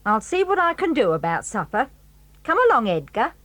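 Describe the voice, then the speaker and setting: An actress playing a spiteful, sour working-class housekeeper who threatens the children and talks with rough, ungrammatical speech. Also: female voice, fairly high pitch, big pitch swings, moderate pace, no echo. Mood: backing down. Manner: sharp and threatening, then fawning. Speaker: Mrs Stick. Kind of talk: 1980s audio drama acting. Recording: dry studio.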